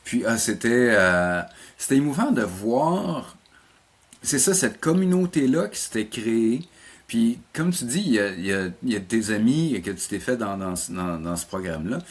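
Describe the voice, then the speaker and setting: A man's voice: medium pitch, big pitch swings, moderate pace. A middle-aged man with a Quebec French accent, chatting casually as an interview guest and often repeating words as he searches for his phrasing. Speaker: animation teacher and programme coordinator, interview guest.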